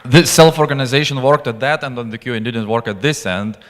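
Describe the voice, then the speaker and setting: A man's voice: mid-range voice, brisk, a bit bright. A man hosting an event, giving off-the-cuff organizing instructions from the stage on a handheld microphone. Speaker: event host. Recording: reverberant hall.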